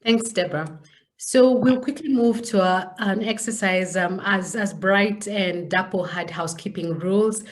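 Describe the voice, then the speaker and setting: A woman's voice: mid-range voice, a little slow. A woman with a Kenyan accent introducing herself and leading a training webinar as a presenter. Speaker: science communication trainer, session presenter.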